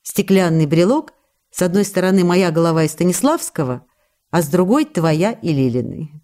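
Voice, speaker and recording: A woman playing a loving, sociable actress writing to her husband, reading the letters for radio in an intimate, expressive, chatty way. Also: lowish voice, close to an everyday voice.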